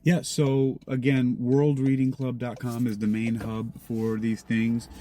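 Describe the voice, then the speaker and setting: A man with a mid-pitched voice talks casually as an interview guest. His answers are conversational and unscripted. A loud vehicle-like noise runs behind his voice. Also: little pitch movement, measured pace. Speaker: interview guest, podcast creator. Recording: noisy vehicle-like background rumble.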